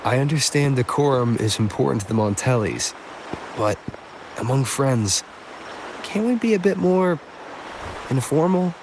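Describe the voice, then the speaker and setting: A man's voice: medium pitch, animated, unhurried, pauses often, noisy background. An actor voicing an easygoing, friendly young male adventurer in a video game, with a casual manner. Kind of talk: scripted video game character dialogue. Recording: music behind the voice.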